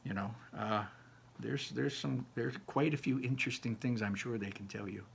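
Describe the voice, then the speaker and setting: A man's voice: mid-range voice, normal speed, gravelly, a little hiss or hum. A man reading a children's story aloud and commenting informally, in an earnest, encouraging way.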